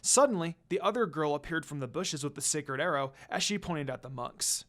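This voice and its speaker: A dramatic narrator reading fan fiction aloud. The pitch sits in a middle range and moves up and down a great deal. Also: expressive, a little fast, a bit bright.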